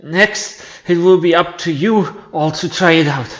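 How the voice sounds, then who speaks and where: A man's voice: a little high, unhurried, a bit bright, highs cut off, slight background noise. A man voicing a pompous, boastful creature vice principal who lectures his class in an amateur game dub. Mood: lecturing. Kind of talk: amateur video game voice-over.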